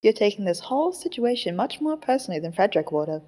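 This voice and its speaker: A woman's voice: mid-range voice, big pitch swings, fast, dark tone. Woman as an echoing, aloof puppet spirit. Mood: calm, detached. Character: calm, aloof haunted puppet spirit, newly talkative. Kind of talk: fan audio drama acting. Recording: long echoing reverb on voice.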